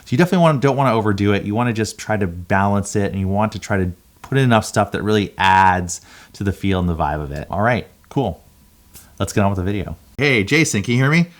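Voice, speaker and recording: A man's voice: on the low side, animated, fast talker. A man talking informally and conversationally as a video creator, explaining things in a casual vlog style.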